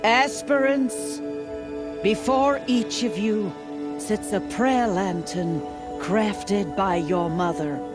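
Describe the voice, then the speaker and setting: Actress as a solemn, very slow-speaking priestess. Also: female voice, medium pitch, lightly acted. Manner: solemn, measured. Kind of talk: ceremonial address in a fantasy game. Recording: ceremonial music behind the voice.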